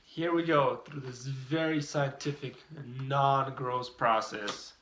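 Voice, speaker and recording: Young man, from Romania, playful teasing chat, mid-pitched voice, echoey room, far from the mic. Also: fairly even intonation, takes it slowly, a bit bright, a little hiss or hum. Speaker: vlog co-host. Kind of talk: casual chat.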